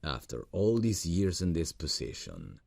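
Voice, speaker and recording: A man voicing a gentle, earnest boss in an ASMR roleplay, talking softly and slowly into a close mic. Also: wildly swooping pitch, dry recording, heavily acted. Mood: tender. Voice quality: deep gravelly voice. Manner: soft, intimate, slow and earnest.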